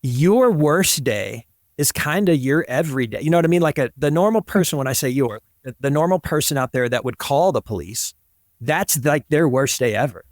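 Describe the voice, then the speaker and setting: Male podcast host, voice a bit above average pitch. Casual, emphatic interviewer, colloquial phrasing, very dry recording. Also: expressive, normal speed, dry recording. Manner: colloquial, emphatic, checks in with "right?" and "you know".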